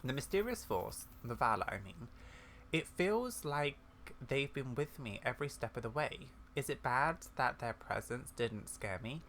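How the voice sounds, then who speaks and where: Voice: mid-range voice, animated, moderate pace, a bit bright, slight background noise. A gamer voicing an earnest, curious young heroine in video game dialogue read aloud.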